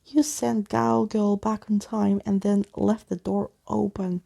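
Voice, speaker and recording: A woman's voice: medium pitch, little pitch movement, a little slow, gravelly, mellow. A woman voicing a bossy, mischievous young girl in a storybook, snappy and scornful with a short temper. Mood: exasperated.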